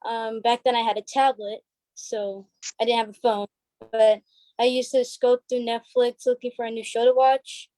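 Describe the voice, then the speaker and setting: A young female guest with a high, mellow voice and a dry, echo-free sound. She answers casually and a little tentatively, letting thoughts trail off. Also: level tone, moderate pace. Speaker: stage actress, interview guest. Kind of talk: casual chat.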